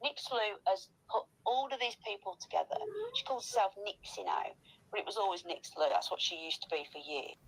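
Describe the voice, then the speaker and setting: A woman with a mid-pitched voice chats in a casual, gossipy style. She is emphatic and insistent, pressing each point firmly. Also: big pitch swings, brisk, creaky, husky, poor audio.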